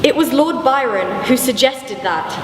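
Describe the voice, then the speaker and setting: A woman's voice: high-pitched, expressive, a little slow. A young woman reading scripted, documentary-style narration in an echoey hall with background noise. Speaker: pupil narrator.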